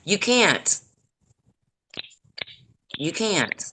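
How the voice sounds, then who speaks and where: An older woman with a deep raspy voice and a Deep Southern accent, hosting a casual livestream chat in a blunt, profane, gossipy way. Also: sing-song, very slow, sharp tone. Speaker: livestream host. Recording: noisy livestream audio.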